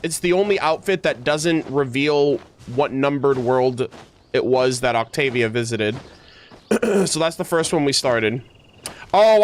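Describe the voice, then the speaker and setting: A man's voice: medium pitch, a little hiss or hum. A man streaming video games, chatting casually with viewers in informal, teasing gamer slang. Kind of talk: casual livestream chat with viewers.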